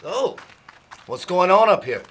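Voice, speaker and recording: Guy doing a gruff skeptical radio play cop, off mic w faint noise, slow and punchy. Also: high-pitched, sing-song, fairly bright tone, full character voice. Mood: brusque, skeptical. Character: gruff, skeptical male police officer. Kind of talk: film noir radio play acting.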